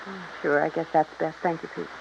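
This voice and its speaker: A woman's voice: deep voice, fairly even intonation, fairly quick, gravelly. A woman playing a grieving, stubborn young ranch heiress in a 1950s radio drama, pointed and resigned in manner. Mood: strained, stubborn. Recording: muffled, hissy old radio recording.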